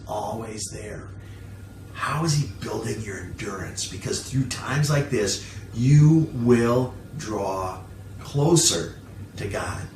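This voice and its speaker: A man preaching a sermon as a pastor, emphatic, with repeated phrases, rhetorical questions and frequent pauses. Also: on the low side. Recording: roomy echo, distant mic, faint noise.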